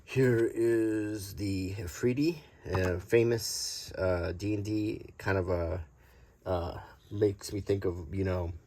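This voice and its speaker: A man presenting collectible cards in a casual, unscripted show-and-tell, halting and pausing often as he describes things. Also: on the low side, fairly even intonation, dark tone. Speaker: host presenting vintage collectible game cards.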